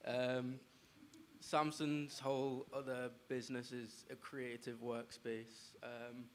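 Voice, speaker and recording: A man's voice: medium pitch, a little hiss or hum. Young man talking frankly with many ums. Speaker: panel speaker. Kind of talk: casual panel talk.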